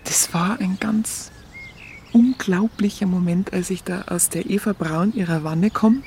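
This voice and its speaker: A woman playing a tender, earnest young Munich woman with a Bavarian accent, confiding haltingly in a radio drama. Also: mid-range voice, mellow, a little hiss or hum, close to an everyday voice. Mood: wondering, confiding. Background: music.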